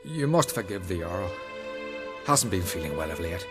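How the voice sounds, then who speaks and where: An actor playing a cautious, soothing priest advising a chieftain in a video game. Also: male voice, deep voice, sing-song, moderate pace, loud music behind the voice. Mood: calm.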